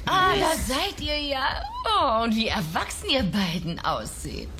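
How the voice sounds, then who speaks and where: A woman playing a gentle, hesitant dinosaur mother with a soothing, uncertain manner in a dubbed sitcom audio drama. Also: high-pitched, big pitch swings, measured pace, noisy background, heavily acted. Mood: hesitant, fond.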